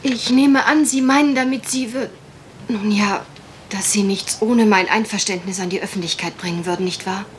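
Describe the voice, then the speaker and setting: A woman's voice: mid-range voice, fairly even intonation, moderate pace, a little hiss or hum, natural voice. A woman acting a genteel, worried young country heiress in a German radio mystery play, polite, well-spoken and a little hesitant. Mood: hesitant, discreet.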